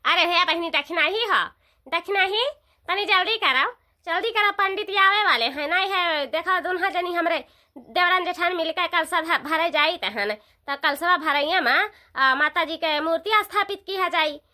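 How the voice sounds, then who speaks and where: A woman's voice: extremely high pitch, normal speed, sharp tone. A woman voicing a bossy, gossipy village sister-in-law in a comedy cartoon, emphatic and repetitive with an Awadhi accent. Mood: urging, eager.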